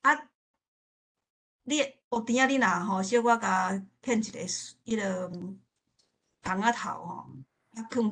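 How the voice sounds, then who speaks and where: A woman from southern Taiwan with a southern Taiwanese accent, speaking casually and reminiscing as a participant in a forum discussion. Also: mid-range voice. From Pingtung, southern Taiwan.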